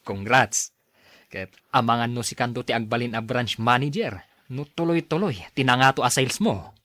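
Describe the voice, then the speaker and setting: An actor with an Ilocano Filipino accent playing a friendly, teasing workmate in a radio drama. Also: male voice, mid-range voice, normal speed, a bit bright, no room sound, lightly acted. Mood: admiring. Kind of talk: acted radio drama dialogue.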